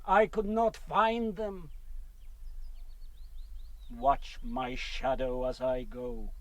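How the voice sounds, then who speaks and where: An actor playing a proud, vengeful warrior chief in a radio drama, menacing and declamatory with drawn-out words. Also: male voice, medium pitch, dry recording. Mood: scornful, mocking.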